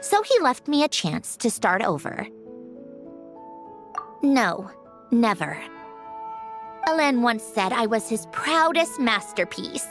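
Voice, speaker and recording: A woman's voice: on the high side, sing-song, slow, long pauses, fairly bright tone, faint music behind, heavily acted. A woman voicing a proud, sardonic female puppet inventor in a video game cutscene, explaining things in a dry, cool, slightly haughty way. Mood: subdued.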